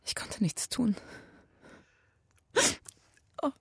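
A woman acting an exhausted, evasive young woman under police questioning in a naturalistic radio crime drama, halting and pleading. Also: a little mellow, no echo, studio quality. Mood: queasy, pleading.

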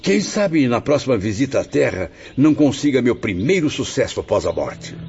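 Casting: wry, courtly Brazilian man of letters, now a spirit, mid-pitched and very lively in intonation. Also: normal speed, soft background music. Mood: courteous, hopeful. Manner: courtly, eloquent. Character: genteel, wry literary man's spirit, a consoling friend. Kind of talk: radio drama acting. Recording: dull audio, faint noise.

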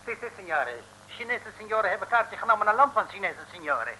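An actor playing an obliging Italian ticket clerk with an Italian accent and broken Dutch in an old comic radio serial. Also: male voice, high voice, big pitch swings, a little fast, sharp tone, heavily acted, rough recording. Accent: Italian, put on. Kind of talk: 1950s-60s comic radio serial acting. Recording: hissy old radio recording.

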